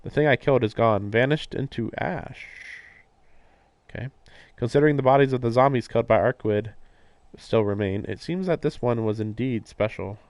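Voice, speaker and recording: A man's voice: mid-range voice, moderate pace, a little mellow, no echo. A man on a live game stream, reading narration aloud and breaking off for casual, puzzled commentary. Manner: puzzled, questioning asides. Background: game music.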